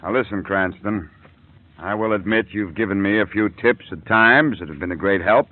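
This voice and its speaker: A man playing a gruff, impatient police commissioner in an old radio drama, blunt and authoritative. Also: lowish voice, moderate pace, lightly acted. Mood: irritated. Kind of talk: 1940s American radio acting. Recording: tinny dry old radio recording.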